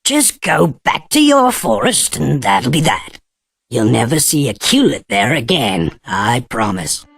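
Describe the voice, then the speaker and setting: A man playing a sly, self-serving creature prince in a video game, a chummy, smooth-talking schemer. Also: mid-range voice, sing-song, a little slow, fairly bright tone, no echo, studio quality, exaggerated voice. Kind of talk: cartoon video game acting. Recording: music behind.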